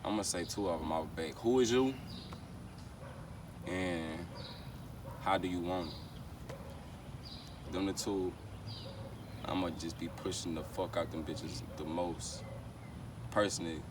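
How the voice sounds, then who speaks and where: A man's voice: low-pitched, a little slow, long pauses. An American man, a rapper being interviewed, chatting casually with heavy slang and frequent verbal tags. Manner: slangy, repeats verbal tags like "you know what I'm saying". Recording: noisy, distant mic, outdoor street ambience.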